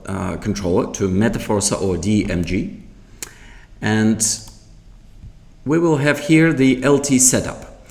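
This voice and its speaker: Male product demonstrator, fairly deep, slow and halting, explaining step by step without a script. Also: darkish tone. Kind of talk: unscripted product tutorial.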